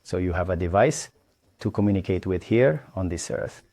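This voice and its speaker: A man from Tanzania, an engineer, explaining his background and satellites in a halting way, with pauses and restarts. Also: sits low, animated, dark tone, no room sound. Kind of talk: explaining his work to camera.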